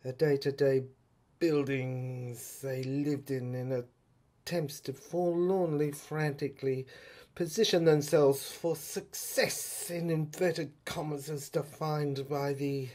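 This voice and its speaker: Slow, halting man narrating dramatically. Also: on the high side, steady tone, darkish tone. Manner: halting, brooding phrasing with pauses. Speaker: narrator of a serial audio drama. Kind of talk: dramatic poetic scripted narration.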